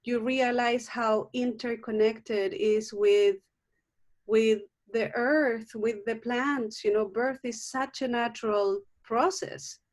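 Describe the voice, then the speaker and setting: A woman's voice: a little high, fairly even intonation, slow pace. A middle-aged woman from Chile with a Chilean Spanish accent, a native Spanish speaker, talking earnestly as an herbalist guest in a video call interview, often restarting her sentences. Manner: warm, earnest, frequent restarts and fillers. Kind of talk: casual interview conversation.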